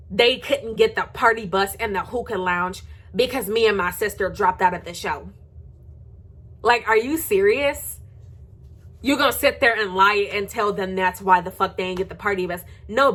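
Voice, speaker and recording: An American woman with a husky, slightly rough voice at an average pitch. She talks straight to her viewers in a heated, tea-spilling rant. Her delivery is blunt and confrontational, full of swearing, with phrases repeated for sarcastic punch. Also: a bit bright. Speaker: vlogger telling gossip.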